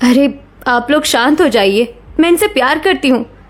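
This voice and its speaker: A woman voicing a loyal, loving young wife, earnest and gentle but firm, in a cartoon story. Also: sits high, animated, moderate pace. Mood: firm, defensive. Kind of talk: Hindi cartoon story dialogue.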